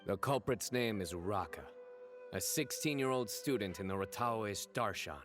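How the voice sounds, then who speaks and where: An actor playing a stern, composed lawman in a video game, interrogating in a deliberate, authoritative way. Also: on the low side, over music, natural voice. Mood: matter-of-fact.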